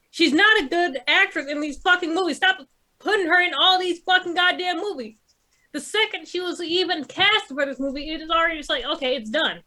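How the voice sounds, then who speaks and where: A woman's voice: sits high, bright, forceful tone. A woman in a casual opinionated panel chat, blunt and exasperated, swearing heavily. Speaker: podcast co-host.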